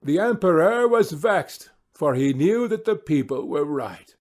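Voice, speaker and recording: A man narrating a storybook audiobook in a measured, theatrical way. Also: on the high side, expressive, a little mellow.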